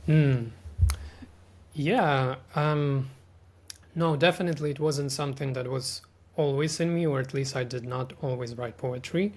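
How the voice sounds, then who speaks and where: A man's voice: mid-range voice, fairly even intonation, unhurried. A man, talking as a podcast guest in a thoughtful, hesitant, casual way. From Ukraine. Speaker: podcast guest, artist and language teacher. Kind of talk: casual reflective conversation.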